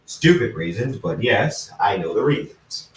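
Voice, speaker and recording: A man's voice: fairly low pitch, expressive, unhurried, a little hiss or hum. A man voicing a blunt, probing, ancient immortal companion in a story, emphatic and repetitive. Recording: roomy echo, distant microphone.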